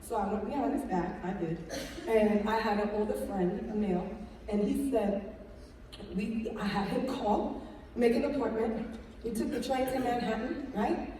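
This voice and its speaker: A middle-aged woman telling her story on stage as a motivational speaker, animated, tagging her lines with "right?". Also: a little high, normal speed, a little hiss or hum. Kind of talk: storytelling talk on stage with slides. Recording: echoey hall from far off.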